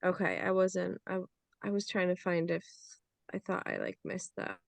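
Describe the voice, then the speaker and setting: A woman's voice: lowish voice, huge pitch swings, normal speed, creaky, no echo. A woman actor on a reading panel giving her reaction to a script. She rambles, leaning heavily on "like" and "you know". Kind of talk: casual feedback discussion.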